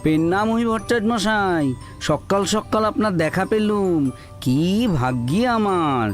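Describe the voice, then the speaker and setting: A woman's voice: mid-range voice, animated, a little slow, faint music behind, close to an everyday voice. A woman playing a humble village father who greets the priest in a fawning, polite way. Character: humble village man, father seeking a match.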